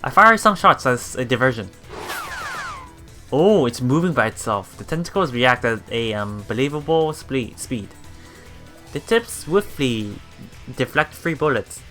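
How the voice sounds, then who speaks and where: Bright-voiced streamer reading a game aloud. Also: medium pitch, lively intonation, a little slow, soft background music. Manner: stumbles over words and repeats them. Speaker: let's play streamer. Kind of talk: reading game text aloud with casual reactions.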